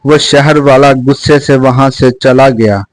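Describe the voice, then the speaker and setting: A man's voice: mid-range voice, fairly even intonation, measured pace, no room sound, rough recording. A man narrating a scripted children's story as a close, dry voice-over.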